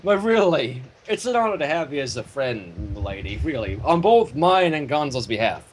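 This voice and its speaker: A man co-hosting a livestream, reading fan fiction in an animated way mixed with casual chat. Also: mid-range voice, moderate pace, slight background noise. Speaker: livestream co-host and story reader.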